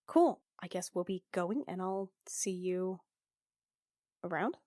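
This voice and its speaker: A woman playing a tabletop roleplaying game, talking casually and haltingly, in and out of character. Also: fairly low pitch, animated, mellow, dry recording, studio quality.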